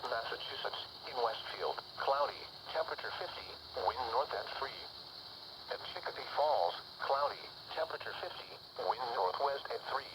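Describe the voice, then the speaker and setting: A man's voice: mid-range voice, animated, moderate pace, long pauses. A synthetic-sounding man reading an automated weather bulletin in clipped, formulaic phrases through a handheld radio speaker. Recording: noisy radio broadcast through small speaker.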